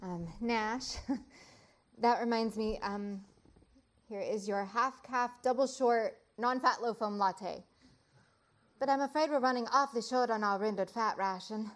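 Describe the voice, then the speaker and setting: An exasperated podcast host, played by a woman, teasing and conversational. Her voice sits a little high and sounds natural. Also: measured pace, close to an everyday voice. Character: exasperated young podcaster bickering with partner. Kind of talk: conversational stage acting.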